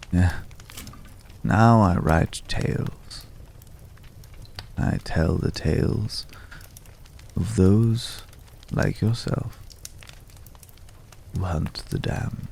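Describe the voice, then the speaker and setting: A man playing a courteous, world-weary former vampire hunter in an intimate ASMR roleplay, speaking in a measured, hushed way with polite, hesitant pauses. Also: low voice, gravelly, a little hiss or hum, full character voice. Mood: wry, musing.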